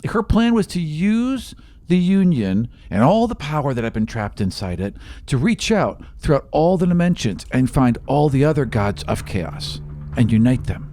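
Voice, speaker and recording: A man playing a hesitant, gentle young god visiting from the future in a tabletop roleplay, speaking in halting, apologetic phrases. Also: fairly high pitch, very animated. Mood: grave, explanatory. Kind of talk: improvised in-character tabletop roleplay. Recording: music playing under the voice.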